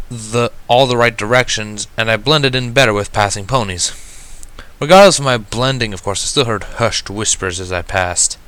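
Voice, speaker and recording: A man reading a first-person story aloud in an expressive narrating style. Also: medium pitch, clear, resonant tone, no room sound. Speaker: fan fiction reader.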